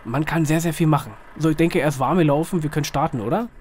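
A slightly hoarse man gives casual, rambling, colloquial commentary on a driving game, often asking himself little questions. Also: a little high, fast talker, darkish tone. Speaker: gaming video host. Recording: clear vehicle engine noise behind voice. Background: truck simulator engine sound.

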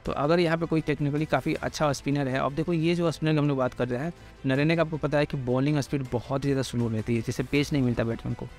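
A man's voice: medium pitch, steady tone, fast, a little mellow, faint music behind. An Indian man presenting informal sports analysis, explaining things repetitively and addressing listeners directly. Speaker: cricket pitch report presenter.

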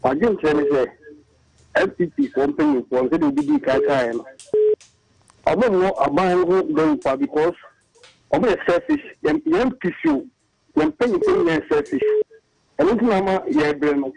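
Gravelly, animated man on a phone line. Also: sits high, no room sound. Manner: animated, argumentative, rushing through figures. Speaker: caller. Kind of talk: phoned-in political opinion.

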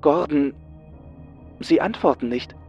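A man's voice: on the high side, slow, darkish tone, muffled recording, soft background music, voice pushed up. A man voicing an anxious female astronaut in a horror audiobook, urgent and panicky. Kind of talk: dramatised horror audiobook dialogue.

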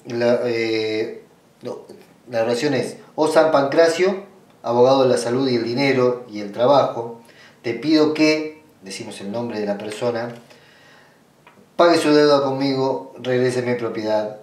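A man with an Argentine accent speaks at a middling pitch, picked up from some distance in a very roomy space. He explains things informally, step by step. His delivery halts and circles back as he rephrases instructions. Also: unhurried, long pauses, a little mellow. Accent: Argentine Spanish. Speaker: ritual practitioner giving a tutorial. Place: small indoor room at a table. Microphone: camera mic away from the speaker. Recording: roomy sound, distant microphone.

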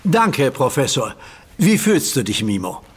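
An actor playing an earnest young superhero who leads the group in an audio drama. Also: male voice, mid-range voice, big pitch swings, unhurried, a little hiss or hum.

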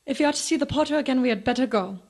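A woman's voice: high-pitched, rapid-fire, vocal fry, darkish tone. An actress playing a sorrowful, guarded Czech woman in a postwar radio drama. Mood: sad, weary.

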